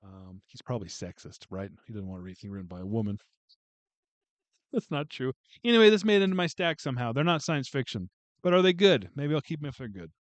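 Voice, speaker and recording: A man's voice: mid-range voice, big pitch swings, quick pace, no echo. A man casually going through a book haul on a video, chatting and joking with viewers as he reads out titles. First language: English. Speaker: booktube vlogger.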